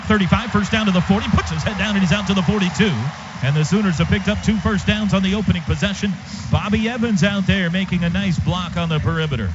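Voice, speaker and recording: High-pitched male play-by-play man, dull noisy feed. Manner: clipped, urgent calls in short phrases. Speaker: radio play-by-play announcer. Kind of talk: live football radio commentary. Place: radio booth at a football stadium. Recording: dull radio feed over stadium noise. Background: stadium ambience.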